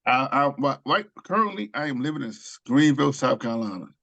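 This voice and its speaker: A man's voice: medium pitch, lively intonation, a little fast, fairly bright tone, dull audio. A man from Queens, New York, telling stories as an interview guest, rambling with many ums and restarts. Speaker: author and screenwriter guest. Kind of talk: casual interview storytelling.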